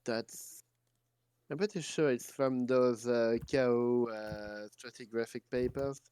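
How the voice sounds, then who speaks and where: A man's voice: mid-range voice, slow, darkish tone, clean tone, no room sound. A man with a French accent chatting casually on a live stream over a voice call, insistent and hesitant with many restarts. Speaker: stream guest.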